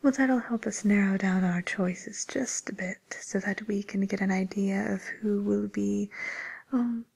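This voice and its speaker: Woman playing a slow, whispering villain matchmaker. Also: medium pitch, full character voice, low-quality recording. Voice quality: breathy, hushed whisper. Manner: gentle, hesitant, courteous customer-service delivery. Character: polite, attentive female matchmaker for wicked villains. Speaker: ASMR roleplay performer. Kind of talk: whispered ASMR roleplay. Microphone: close microphone.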